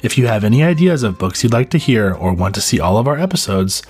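A man reading a children's storybook aloud as the host, in expressive narration with a slightly husky voice. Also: fairly low pitch, fast talker, soft background music. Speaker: story podcast host and reader.

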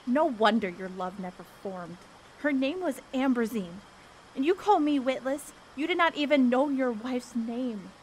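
A woman's voice: high-pitched, lively intonation, a little slow, darkish tone, rough recording. A woman playing a determined young woman seeking the truth in ancient Greece, speaking boldly and earnestly in an audio drama. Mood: passionate, challenging. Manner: bold, earnest, declamatory. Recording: faint background noise.

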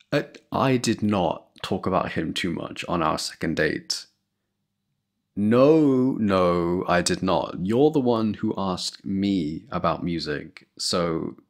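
A young man in a boyfriend roleplay, talking to a girlfriend in a playful, laughing, affectionate way, close to the mic. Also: low-pitched.

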